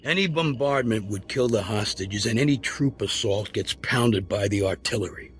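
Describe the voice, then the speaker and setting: A man's voice: lowish voice, normal speed, a bit bright, slight background noise, natural voice. A man playing a grim, pragmatic military commander in a video game, giving terse, matter-of-fact briefings. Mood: grim, frustrated. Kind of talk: cinematic video game voice acting. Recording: music behind the voice.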